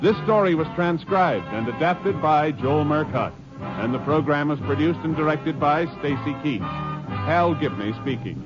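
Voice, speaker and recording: A man announcing the cast and credits of a vintage radio drama in a crisp, formal way. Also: a little high, lively intonation, normal speed. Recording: muffled old radio with music.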